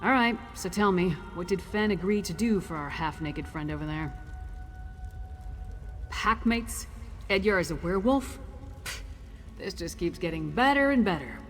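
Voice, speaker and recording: An actress playing a blunt, wry warrior companion in a video game, sounding exasperated. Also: female voice, on the low side, lively intonation, normal speed, long pauses, natural voice. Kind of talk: video game character dialogue. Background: music.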